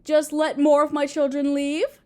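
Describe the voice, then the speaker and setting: A woman playing a doting, possessive Mother Earth goddess in a roleplay audio drama, sweet and cooing as if to a small child, with gentle menace. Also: high voice, monotone, measured pace. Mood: sweetly menacing.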